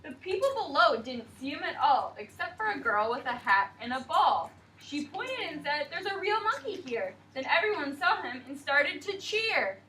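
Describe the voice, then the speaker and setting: A young woman teacher reading a picture book aloud to a class in an expressive, sing-song way and asking questions. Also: high voice, moderate pace, hardly any pauses, far from the mic, a little hiss or hum, low-quality recording.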